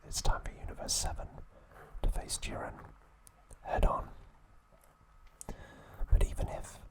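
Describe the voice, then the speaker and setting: A man whispering an ASMR review at home, gentle and relaxed, with a soft unvoiced whisper. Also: measured pace, low-quality recording. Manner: gentle, relaxed, with occasional fillers. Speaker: ASMR channel host. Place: indoors at home.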